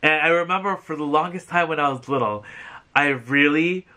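A man in his thirties, from the Philippines, whose first language is Tagalog, chatting in a bubbly, animated way about thrift finds from his living-room sofa. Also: fairly high pitch, normal speed. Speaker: vlogger. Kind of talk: casual thrift haul chat.

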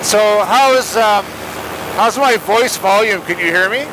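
High-pitched man on crackly, noisy intercom. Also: a little slow. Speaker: fellow paramotor pilot. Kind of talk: casual intercom chat. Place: outdoors, in flight. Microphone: helmet headset intercom. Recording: crackly intercom with engine noise.

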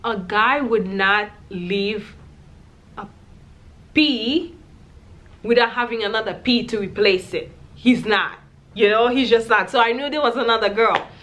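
A woman's voice: on the high side, a little slow, fairly bright tone. Young woman vlogger, casual storytime to camera in a small room, candid and chatty. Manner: chatty, candid, lots of "like" and "you know".

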